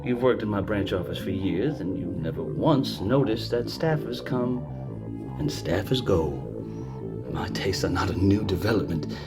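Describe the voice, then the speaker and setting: An actor playing a smug cannibal senator in an audio drama, genteel and unhurried, with sinister relish. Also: male voice, low voice, expressive, over loud music. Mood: gloating menace.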